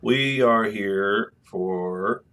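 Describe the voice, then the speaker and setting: A man's voice: lowish voice, extremely slow, a bit bright, clean tone. A man giving casual, jokey, rambling video game review commentary.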